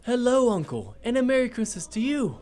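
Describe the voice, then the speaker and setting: Cheerful high-voiced nephew, animated. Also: male voice, fairly quick. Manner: jovial, friendly, emphatic. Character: cheerful, warm-hearted younger nephew, good-natured and persistent. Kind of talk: radio play acting.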